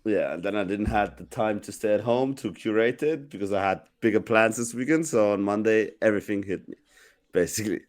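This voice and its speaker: A man who is sick and sounds deeper than usual, telling a rambling story on a casual podcast with many restarts. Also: brisk, no room sound. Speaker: podcast co-host.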